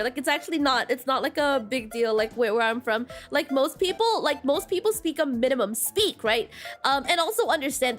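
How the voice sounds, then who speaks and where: A woman with a high, light voice chats loosely with her stream audience, laughing between thoughts and circling through filler words. Her first language is Mandarin, and soft music plays faintly under her talk. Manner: giggly, rambling, many fillers. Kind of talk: casual chat with viewers.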